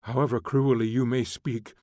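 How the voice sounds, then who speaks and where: A male reader voicing a remorseful gentleman prince who pleads earnestly for forgiveness. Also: medium pitch, flat delivery, normal speed, no echo, studio quality, natural voice. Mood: pleading.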